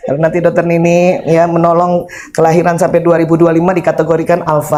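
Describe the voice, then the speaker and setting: A woman in her fifties giving a lively, humorous parenting seminar, chatting with her audience and quizzing them with questions. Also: fairly low pitch, slight background noise. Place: seminar hall before an audience.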